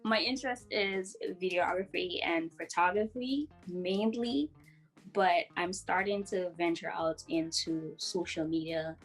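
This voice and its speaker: A young woman introduces herself in an easygoing way over a video call. She speaks slowly, in a fairly low voice, with faint music under her. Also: low-quality recording. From Guyana. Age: 20s. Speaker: guest. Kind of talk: casual self-introduction.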